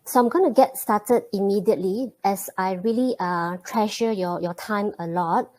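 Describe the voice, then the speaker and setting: A woman from Malaysia, hosting a live programming webinar, chatty and friendly, with frequent ums and pauses. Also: medium pitch, darkish tone. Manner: friendly, many fillers and pauses. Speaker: webinar host and programming teacher. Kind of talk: live online webinar greeting and intro.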